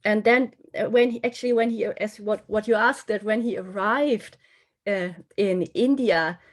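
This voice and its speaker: A woman's voice: mid-range voice, moderate pace. A woman guest on a podcast, talking reflectively and searching for her words as she goes. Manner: thoughtful, searching for words, restarts phrases.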